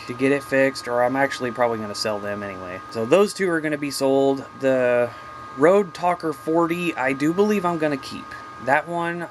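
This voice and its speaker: A man's voice: medium pitch, noisy background. A man giving a casual, unscripted hobby vlog in a plain, folksy, mildly salty way. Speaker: hobbyist video host.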